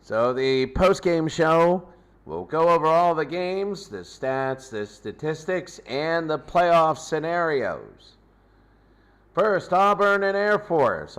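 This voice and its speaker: Male sports host, unhurried and clean-voiced, giving an off-the-cuff results rundown in clipped phrases. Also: fairly high pitch, clean tone. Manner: repeats scores, speaks in short fragments. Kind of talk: casual unscripted sports recap commentary.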